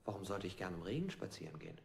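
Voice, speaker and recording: An actor playing an overpowered man under interrogation in an avant-garde radio play, deliberate and evasive, with a husky voice. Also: deep voice, big pitch swings, full character voice. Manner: deliberate, evasive, echoing questions.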